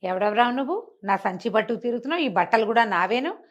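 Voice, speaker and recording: A woman's voice: fairly high pitch, animated, fast talker, highs cut off. A woman storyteller voicing an indignant man who accuses and demands, repeating his claims insistently. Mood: indignant accusation.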